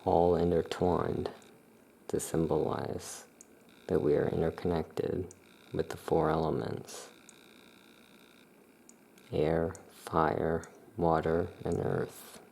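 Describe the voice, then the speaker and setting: A soft-spoken ASMR performer playing a shopkeeper, presenting items in an unhurried, explanatory way. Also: low voice, flat delivery, vocal fry, a little hiss or hum.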